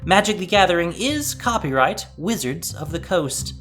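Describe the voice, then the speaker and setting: Audio fiction narrator: mid-pitched, animated intonation, expressive scripted read over a light music bed. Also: moderate pace.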